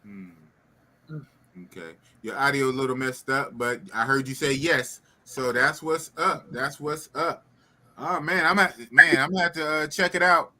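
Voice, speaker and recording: A man's voice: a little high, measured pace, pushed and bright. A man hosting a casual live stream in a slangy way, repeating phrases for emphasis. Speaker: podcast host. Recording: clicky, clearly noisy stream audio.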